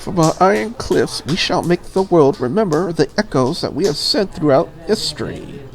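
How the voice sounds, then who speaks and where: A woman's voice: sits low, expressive, moderate pace, slight background noise. Woman voicing a proud, stiff dragon girl ambassador. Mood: proud, grandiose. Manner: stiff, formal, solemn declarations. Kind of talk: visual novel character read aloud.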